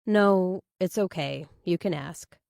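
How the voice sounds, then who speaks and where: A woman's voice: lowish voice, slow pace, creaky, a little mellow, no echo, studio quality. A woman voicing a bashful, awkward male police detective in hesitant, sheepish audiobook dialogue. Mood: sheepish, earnest.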